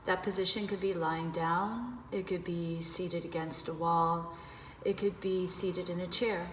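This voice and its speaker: A woman leading a guided meditation, giving gentle, unhurried instructions with pauses. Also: lowish voice, steady tone. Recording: echoey reverberant room with background noise.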